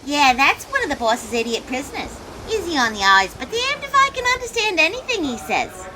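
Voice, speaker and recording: A woman's voice: high voice, very animated, fairly quick, sharp tone, exaggerated voice, low-quality recording. An actress playing a brash, sassy, spacey villain's henchwoman in a comedic audio drama. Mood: sassy, dismissive. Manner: sassy, slangy, irreverent. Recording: clear background noise with clicks.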